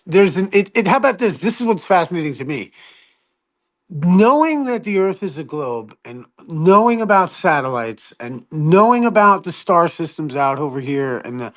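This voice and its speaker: A man debating casually on an online call, rambling, swearing and poking fun at himself. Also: high-pitched. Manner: rambling, profane, self-deprecating, laughs often. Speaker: flat-earth debater. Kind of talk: heated online debate chat.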